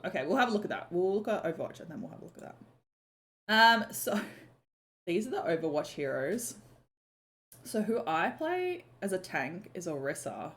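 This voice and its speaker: A young woman chats easily to her stream, her slightly croaky voice sitting a little low. She talks in loose, rambling runs full of filler words and the odd swear. Her pitch swings widely as she goes. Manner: chatty, lots of "like" and "um", swears casually. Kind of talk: casual chat on a live stream.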